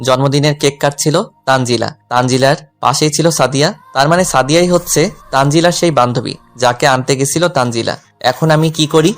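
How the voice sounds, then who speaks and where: A man's voice: medium pitch, fairly quick, close to an everyday voice. A man playing a lovelorn, self-effacing young tutor who narrates his own romantic story. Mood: brooding. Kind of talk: scripted first-person romantic story narration with dialogue.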